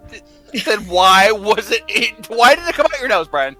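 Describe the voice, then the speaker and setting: A young man with a high, bright voice that moves around a lot. He tells casual anecdotes in a joking, rambling manner, with faint music behind. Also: sing-song, normal speed. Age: young adult. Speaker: show cast member.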